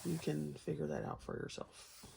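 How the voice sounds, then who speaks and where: Creaky-voiced woman chatting casually, lively pitch. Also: normal speed, noisy background. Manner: chatty, rambling, giggly, folksy asides. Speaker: host of a colouring book flip-through. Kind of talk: casual unscripted chat to viewers over book pages.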